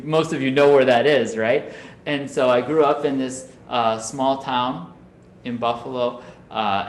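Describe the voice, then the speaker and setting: Man from Minnesota giving a relaxed Dharma talk. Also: medium pitch, reverberant, slight background noise. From Minnesota, USA. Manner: conversational, often adds "right?" to check with listeners. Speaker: Buddhist minister. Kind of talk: Dharma talk over video call. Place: home room on a video call. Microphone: video call.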